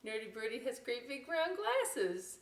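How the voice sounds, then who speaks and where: A woman's voice: fairly high pitch, big pitch swings, measured pace. An American woman presenting a children's story time, reading a picture book aloud expressively and chatting through folksy, explanatory asides. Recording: roomy echo, distant microphone.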